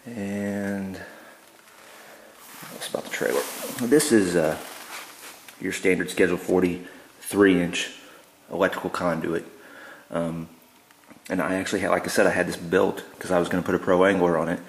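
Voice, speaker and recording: Deep, flat-voiced man in an echoey garage. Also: moderate pace, vocal fry. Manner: relaxed and plain-spoken, many ums and uhs. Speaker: DIY builder showing his work. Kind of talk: casual how-to walkthrough. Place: indoor garage.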